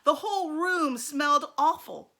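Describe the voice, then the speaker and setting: A woman teacher reading a children's storybook aloud, expressively and with deliberate dramatic emphasis. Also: sits high, pushed and bright.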